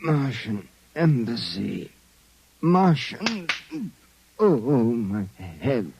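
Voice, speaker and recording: An actor playing a drugged, panicked private detective in a 1950s radio drama, halting, groggy and pleading. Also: male voice, medium pitch, big pitch swings, gravelly, exaggerated voice. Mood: dazed. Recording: noisy old 1950s radio recording.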